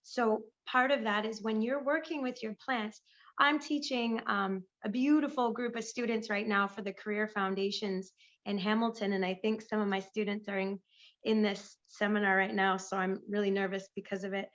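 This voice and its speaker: A woman recovering from a lost voice, so it squeaks now and then, speaking enthusiastically and off the cuff on a conference panel over a video call. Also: steady tone, normal speed, a bit bright, highs cut off. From Canada. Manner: enthusiastic, chatty, improvising. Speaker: panelist, pest management business owner.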